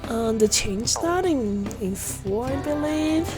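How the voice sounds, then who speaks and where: Woman, game streamer, slightly high pitch, unhurried pace, casual chat, giggly and rambling, game music behind. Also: lively intonation. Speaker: livestreamer playing a visual-novel game.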